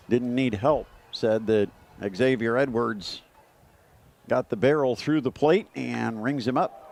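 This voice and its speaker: A man giving color commentary on a live baseball broadcast, analyzing the play. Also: medium pitch.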